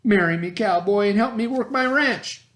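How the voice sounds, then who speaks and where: A man puts on a young cowgirl belle from a western storybook, raised well above his own pitch. She is bold, picky and blunt. Her folksy country talk comes out with real emphasis. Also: normal speed, a bit bright. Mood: excited, insistent.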